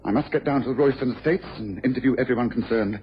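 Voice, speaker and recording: An actor playing a brisk, commanding detective in a radio crime drama, with an incisive, intense and self-reproachful manner. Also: male voice, medium pitch, close to an everyday voice. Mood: urgent, authoritative. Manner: incisive, assertive, lecturing. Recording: dull, hissy old radio recording.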